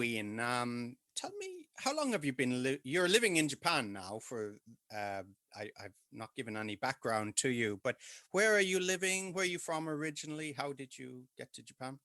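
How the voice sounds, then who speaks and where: A man hosting an interview, asking halting questions and murmuring agreement as he listens. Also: a little high, animated, bright, forceful tone, dry recording, studio quality. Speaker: podcast host, interviewer. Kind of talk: conversational interview.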